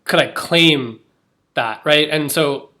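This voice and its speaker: A man's voice: fairly high pitch, takes it slowly, sharp tone. A young man co-hosting a podcast, talking casually with lots of fillers and restarts. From United States. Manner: chatty, filler-heavy, many restarts. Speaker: podcast co-host. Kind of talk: casual chat.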